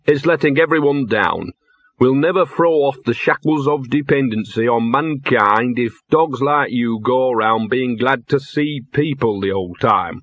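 A man voicing a cynical, maudlin little talking dog, hoarse and drunkenly slurred, in a comic fantasy audiobook. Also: mid-range voice, a bit bright, no room sound, highs cut off. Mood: drunken lecturing. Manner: slurred, rambling, grumbling.

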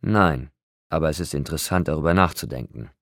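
A man voicing a calm, thoughtful male doctor in a story, measured and musing in a soothing manner. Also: extremely low pitch, no echo, studio quality.

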